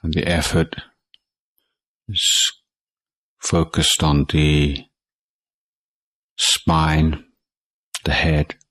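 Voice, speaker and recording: A man teaching guided meditation in a calm, measured way, leaving long pauses between short phrases. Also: very deep voice, no echo, poor audio. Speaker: Buddhist monk meditation teacher.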